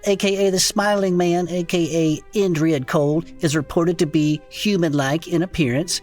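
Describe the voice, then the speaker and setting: A woman's voice: lowish voice, steady tone, moderate pace, darkish tone, soft background music, natural voice. A woman playing a wry, skeptical, folksy cryptid investigator who questions everything, in conversational audio fiction. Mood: puzzled reporting.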